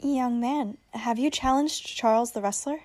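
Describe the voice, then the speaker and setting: A woman's voice: on the high side, a little slow, a little mellow, close to an everyday voice. A woman playing a witty, spirited young princess in a Shakespearean radio play, speaking formally and articulately. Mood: sympathetic.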